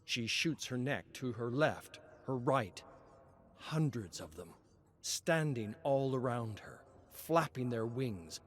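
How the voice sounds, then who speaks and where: Slow-paced man narrating a dramatic story. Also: mid-range voice. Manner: measured, solemn storytelling with dramatic pauses.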